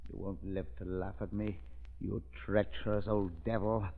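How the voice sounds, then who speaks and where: An actor playing a deluded, vengeful villain in a 1930s radio drama, ranting and shouting. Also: male voice, lowish voice, level tone, moderate pace. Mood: raging. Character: deluded, vengeful man who thinks himself a reborn duke. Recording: dull old radio recording.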